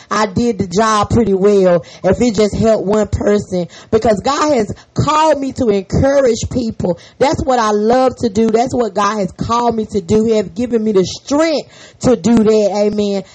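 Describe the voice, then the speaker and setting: A hoarse woman hosting a faith podcast, leading a fervent spoken prayer and an encouraging sermon full of repeated amens. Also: a little high, a little mellow, poor audio. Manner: fervent, repetitive, peppered with amen. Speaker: podcast host and prayer leader.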